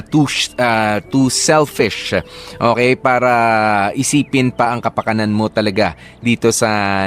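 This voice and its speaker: A man's voice: medium pitch, a bit bright. A Filipino man working as a radio DJ, talking conversationally and mixing in English phrases as he reads listener letters and gives advice. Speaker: radio DJ hosting a love-story advice show. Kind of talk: reading listener letters and giving casual advice.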